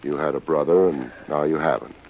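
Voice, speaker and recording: Deep-voiced wry hard-boiled private eye. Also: male voice, brisk. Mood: blunt. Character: wisecracking, unsentimental male private investigator narrating his case. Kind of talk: 1950s radio detective drama acting. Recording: dull, noisy old radio recording.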